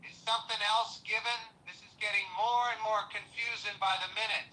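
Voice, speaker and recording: A man's voice: high voice, fairly even intonation, a little fast, pushed and bright, low-quality recording. A man voicing a folksy cowboy chatbot who drawls, calls everyone partner and keeps asking baffled questions.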